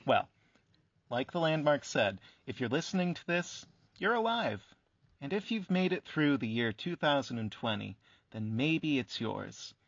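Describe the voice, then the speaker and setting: A man's voice: mid-range voice, sing-song, a little slow, vocal fry, dry recording, lo-fi sound, exaggerated voice. Guy acting as friendly radio interviewer, midrange male voice, curious, conversational, close dry sound. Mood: curious, gentle.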